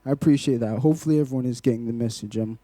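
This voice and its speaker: A man in his twenties from Glasgow, born in Malawi, speaking to a congregation as a guest giving testimony, in a measured, poetic way. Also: medium pitch, mellow, no room sound. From Glasgow, Scotland, born in Malawi. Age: 20s. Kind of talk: rhythmic spoken-word poetry in places.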